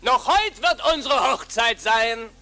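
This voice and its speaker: A man's voice: very high-pitched, animated, slow pace, pushed and bright, full character voice. An actor playing a brash, bossy male beggar musician in a fairy-tale radio play. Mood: cheeky. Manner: cheeky, commanding, mocking.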